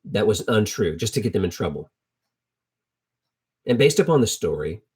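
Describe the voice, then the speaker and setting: Husky-voiced man giving an informal sermon. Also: fairly low pitch, darkish tone. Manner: conversational, colloquial, with emphatic repetition. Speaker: preacher teaching a Bible lesson. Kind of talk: informal sermon, storytelling Bible teaching.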